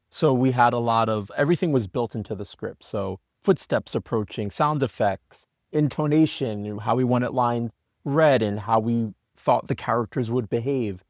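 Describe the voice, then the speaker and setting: A man's voice: mid-range voice, no room sound, studio quality. A man being interviewed as a writer, talking in a conversational, anecdotal way. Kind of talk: interview talk.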